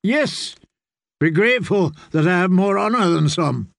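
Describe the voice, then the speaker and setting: A man acts out a cunning fantasy lord for an audiobook. The voice is raised in pitch and unhurried, with a creaky edge. He speaks formally and emphatically, cold and in command. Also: lively intonation, no echo, clean studio sound. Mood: cold anger. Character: cunning nobleman, coldly angry, commanding, smooth in bargaining. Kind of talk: dramatic audiobook character voice.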